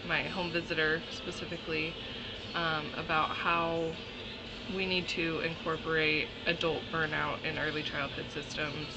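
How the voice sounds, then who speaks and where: A young woman and college student recording a class discussion post, hesitant and pausing often with ums. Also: low voice, flat delivery, heavy background noise.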